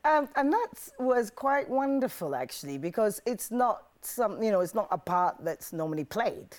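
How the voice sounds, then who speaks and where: A woman's voice: medium pitch, animated, moderate pace, darkish tone. A middle-aged woman, an actress born in Ethiopia, telling her story candidly as a TV interview guest. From born in Ethiopia to South African parents. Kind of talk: TV studio interview.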